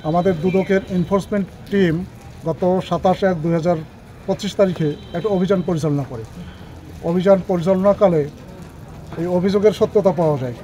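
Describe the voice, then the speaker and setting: A man's voice: high-pitched, mellow, a little hiss or hum, rough recording. A man, an anti-corruption official, giving a formal, bureaucratic statement to the press outdoors at a hospital.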